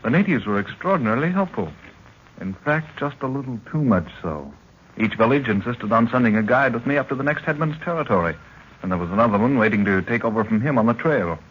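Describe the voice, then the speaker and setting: An actor playing an eager, earnest young adventurer in an old-time radio drama. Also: male voice, mid-range voice, lively intonation, fast talker, natural voice. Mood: breezy, chatty. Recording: muffled, hissy old radio recording.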